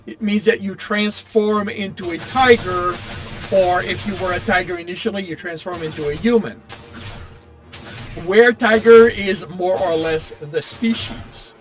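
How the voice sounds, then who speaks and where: Very high-pitched man, halting and rambling. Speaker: game streamer. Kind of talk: casual chat while playing a game. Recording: game music faintly behind the voice. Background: faint video game music.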